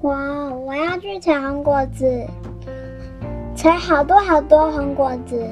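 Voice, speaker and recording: A man's voice: extremely high pitch, unhurried, soft background music, pitched above the performer's own voice. A man voicing a stubborn, tearful young male dinosaur child in a read-aloud storybook, with insistent childish protests. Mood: eager.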